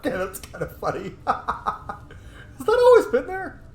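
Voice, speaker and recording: A man's voice: very high voice, huge pitch swings, a little slow, echoey room. A man giving casual, playful, sweary commentary as he plays a video game. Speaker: gaming video host.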